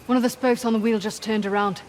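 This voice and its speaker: An actress playing a tough, no-nonsense mercenary in a video game, curt and dry. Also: female voice, a little high, fairly even intonation, fast, natural voice. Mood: dry, businesslike. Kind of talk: video game cutscene acting. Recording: clear background noise under game dialogue.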